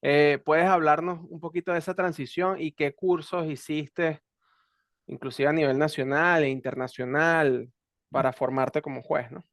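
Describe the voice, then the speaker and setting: A man interviewing a guest in a casual exchange, speaking a little higher than most men. He keeps to short prompts and quick acknowledgments. His voice sounds dry and close, with no room echo. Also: fairly even intonation.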